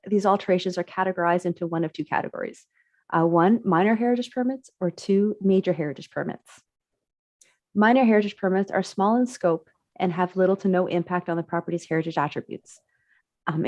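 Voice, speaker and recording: Raspy woman with a cold, dry sound. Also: lowish voice, darkish tone, no room sound, muffled recording. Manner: measured, reads prepared remarks, clears throat. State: getting over a cold, raspy. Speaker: municipal heritage staff presenter. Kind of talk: presenting slides at a council committee meeting.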